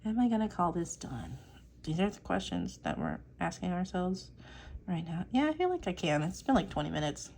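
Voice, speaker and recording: A woman's voice: lowish voice, big pitch swings, moderate pace, vocal fry, darkish tone, slight background noise. A young woman talking casually and rambling on tangents while she inks a drawing, swearing now and then and filling gaps with "like" and "um.". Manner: chatty, rambling, swears casually, many fillers. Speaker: artist vlogger.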